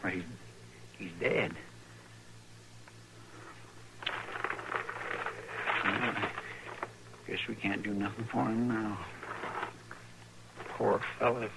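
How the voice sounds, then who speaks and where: An actor playing a loyal, stubborn frontier deputy with a rural Western American accent and folksy, ungrammatical talk, in an old-time radio western. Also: male voice, mid-range voice, vocal fry. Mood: subdued, reassuring. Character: loyal, stubborn frontier deputy, plain-spoken country man. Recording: noisy vintage radio drama recording.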